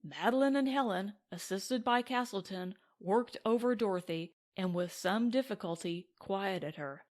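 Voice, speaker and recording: A woman's voice: mid-range voice, unhurried, few pauses. A woman reading scripted narration from a western novel as an audiobook. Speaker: audiobook reader.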